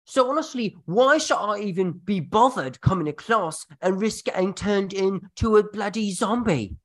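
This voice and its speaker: A cheeky young street urchin acted out by an adult performer speaks in a Cockney British accent, pitched up and swinging freely. The manner is blunt and slangy, in the style of a radio drama. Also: animated, moderate pace, close to an everyday voice. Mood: blunt, matter-of-fact.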